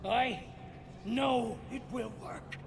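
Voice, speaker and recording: A man's voice: very high voice, extremely slow, vocal fry, noisy background, full character voice. A man acting a middle-aged, zealous leader obsessed with control, grandiose and insistent, in a dramatic video game performance. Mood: desperate, agitated.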